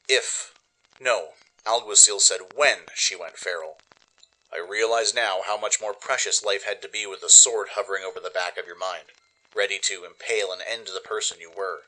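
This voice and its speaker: A man's voice: lowish voice, sharp tone, poor audio. A man giving dramatic first-person scripted narration as the narrator of a radio play.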